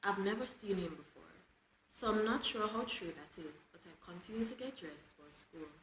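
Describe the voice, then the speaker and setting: A woman reading children's books aloud in an expressive storytelling style. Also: mid-range voice, moderate pace. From Jamaica. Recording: roomy echo with clear background noise.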